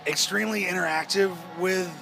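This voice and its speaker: A man in a band answering interview questions at a festival, his voice naturally hoarse, talking in a halting way with many restarts and fillers. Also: sits high, music in the background, low-quality recording. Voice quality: hoarse-sounding. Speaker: rock band member. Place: outdoors at a music festival.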